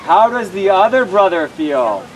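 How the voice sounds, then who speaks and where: A woman's voice: medium pitch, sing-song, measured pace. A woman leading a children's lesson outdoors in simple questions and answers, repeating and affirming what they say. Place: outdoors at a public children's event. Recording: loud outdoor crowd and traffic din. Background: crowd chatter and vehicle noise.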